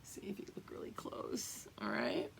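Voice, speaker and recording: A woman teacher reading a picture book aloud to young pupils, drawing out the story and explaining it as she goes. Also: in a whisper. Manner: expressive, drawn-out reading with teacherly explanations and check-ins.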